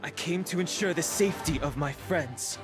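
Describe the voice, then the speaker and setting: A man's voice: a little high, moderate pace, music in the background, close to an everyday voice. A man playing a stern, protective young exile in a dramatic video game, earnest and firm.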